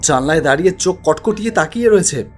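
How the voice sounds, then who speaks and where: A man's voice: a little high, animated, fast talker, faint music behind. Dramatic male storyteller. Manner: dramatic, suspenseful. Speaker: narrator. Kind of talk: scripted storytelling narration.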